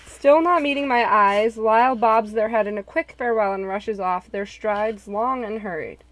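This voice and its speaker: A woman's voice: medium pitch, normal speed, no room sound, low-quality recording. A woman casually chatting and reading visual novel narration aloud among friends. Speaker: show participant, narrator. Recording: background noise with clicks.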